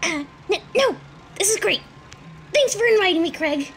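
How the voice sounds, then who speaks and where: A woman's voice: very high-pitched, expressive, fairly bright tone, faint music behind, exaggerated voice. A woman voicing a jittery, paranoid teenage boy in a fan comic dub, talking in a frantic, shaky rush. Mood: panicky. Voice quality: frantic, shaky, rapid worrying.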